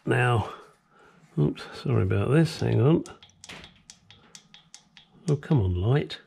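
A man chats through a car repair from underneath the vehicle in his home garage, explaining each step as he goes. His voice is mellow and relaxed, with a mid-range pitch, and he swears and jokes in an easygoing way. Faint clicks and workshop noise sit behind him. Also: slow pace, creaky. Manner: chatty, swears, cracks crude jokes. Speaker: DIY car mechanic. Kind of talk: casual how-to narration while working.

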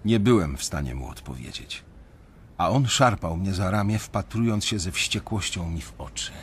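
Low-voiced, brooding young banker. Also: male voice, expressive, husky, full character voice. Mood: brooding, measured. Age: young adult. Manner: measured, introspective storytelling. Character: young male banker, brooding, conscience-stricken narrator. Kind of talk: first-person radio drama narration.

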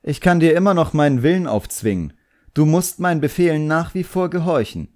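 A man's voice: a little high, animated, a little mellow, voice pushed up, lightly acted. A man voicing a clever, defiant boy, a magician's apprentice, in an audiobook. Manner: hesitant, drawn-out threats.

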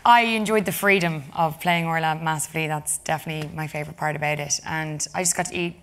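A young woman, an actress on a festival panel, speaking quietly and bashfully. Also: low-pitched, steady tone, fast talker, smooth. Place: stage in front of a live audience.

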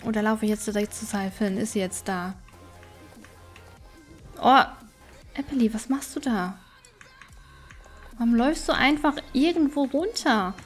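Casting: woman, fairly high voice, chatty gaming host thinking aloud over soft background music. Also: a little slow, long pauses. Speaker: gaming streamer and let's play host. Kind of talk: casual let's play commentary.

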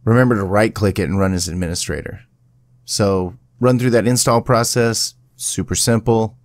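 A man presenting a casual step-by-step software tutorial in an informal, instructional way. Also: lowish voice, normal speed, no room sound, studio quality.

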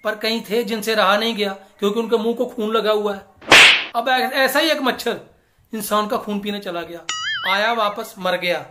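A man in his thirties doing a stand-up comedy monologue to camera in a small room, animated and switching into voices. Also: sits high, loud music behind the voice. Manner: animated, gesturing, quick shifts into character voices.

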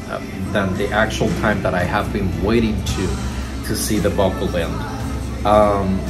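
A man's voice: lowish voice, low-quality recording. A young man from Cuba, a show host telling a story to the camera in an effusive, enthusiastic way while seated on a couch indoors. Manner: effusive, enthusiastic, heaps praise. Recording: steady background noise.

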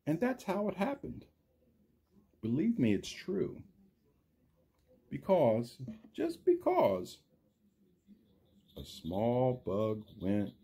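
A man voicing a friendly cartoon dinosaur who reads a children's storybook theatrically, husky, drawing out words and putting questions to young listeners. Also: medium pitch, wildly swooping pitch, drawn-out words, pauses often, warm tone, full character voice. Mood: theatrical storytelling. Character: friendly green dinosaur puppet, animated children's storytime reader. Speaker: storytime reader for a school class. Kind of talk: children's storybook read-aloud with asides.

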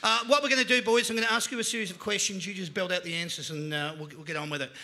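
Australian male host, middle-aged, bright sharp gravelly tone, cheery live-stage quizzing. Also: high voice, fairly even intonation, racing. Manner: cheery, joking, quizzing guests. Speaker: panel show host. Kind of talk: live panel show hosting. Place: indoor venue stage with a live crowd. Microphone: handheld mic.

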